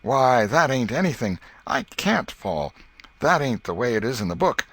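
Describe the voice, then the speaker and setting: A man's voice: mid-range voice, sing-song, moderate pace, fairly bright tone, breathy edge, no room sound, pitched above the performer's own voice, heavily acted. A man voicing an imaginative, bossy schoolboy in a storybook, declaiming like an outlaw hero in rustic boyish dialect. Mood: insistent.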